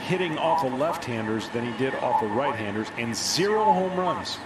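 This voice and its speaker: Male American baseball analyst, medium pitch, explanatory delivery, live booth commentary with very heavy background noise. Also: animated, normal speed. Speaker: color commentator. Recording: very loud stadium noise behind.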